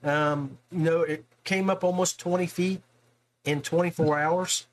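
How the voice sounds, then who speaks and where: A man's voice: a little high, steady tone, unhurried, rough recording. A man with an American accent chatting casually as the host of a podcast. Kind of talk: casual chat.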